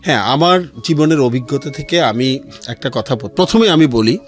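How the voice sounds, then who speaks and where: A man's voice: mid-range voice, lively intonation, moderate pace. A middle-aged man with a Bangladeshi accent, telling his life story and giving earnest advice as an interview guest. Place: radio studio interview. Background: music behind the voice.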